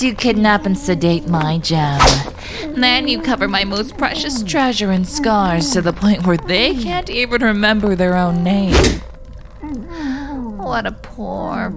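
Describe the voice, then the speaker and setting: Loud music surrounds a menacing female avenger in a dramatic audio roleplay. She is obsessively protective, speaking slowly and theatrically, with taunting swoops from mockery to cold threat. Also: fairly low pitch. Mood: cold threatening. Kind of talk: dramatic ASMR roleplay acting.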